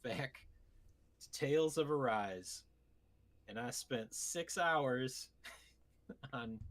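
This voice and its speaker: Guy hosting a podcast, medium pitch, wry and bantering, just chatting. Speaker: podcast host. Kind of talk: casual podcast chat.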